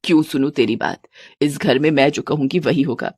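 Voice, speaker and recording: A woman's voice: sits low, a little fast, darkish tone, slightly rough, no echo, studio quality, voice pushed down. A woman voicing a stern, domineering older mother-in-law with a commanding manner.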